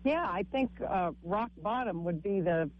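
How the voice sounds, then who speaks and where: A woman with a husky voice, a comic and author telling her story haltingly in a radio interview, with frequent restarts. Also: lively intonation, narrow, tinny audio. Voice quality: husky, low-sounding voice. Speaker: guest author and stand-up comic.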